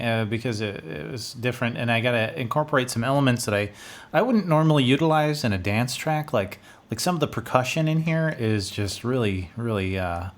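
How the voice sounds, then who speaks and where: A man's voice: medium pitch, a bit bright. A man in his thirties talking casually to viewers as a music producer, in an informal, rambling, self-deprecating way, close on a studio mic. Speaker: music producer presenting own track. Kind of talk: casual video talk to viewers. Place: small home studio room, indoors. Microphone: large studio condenser microphone, close.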